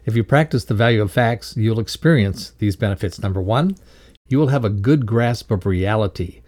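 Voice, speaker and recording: A man reading scripted educational narration in a measured, instructive way. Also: on the low side, lively intonation, darkish tone. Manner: measured, instructive read-aloud delivery. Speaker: reader of a values lesson.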